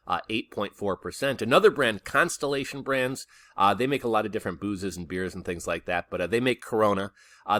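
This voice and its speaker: Low, bright-voiced man giving commentary. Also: no room sound. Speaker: video host, news commentator. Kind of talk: casual rundown commentary on economic news.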